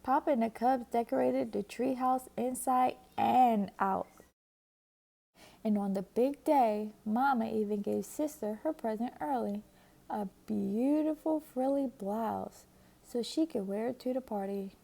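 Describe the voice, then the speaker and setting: Gravelly woman reading a storybook. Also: on the high side, a little slow. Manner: expressive, lively narration. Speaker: storybook reader. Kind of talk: children's book read-aloud.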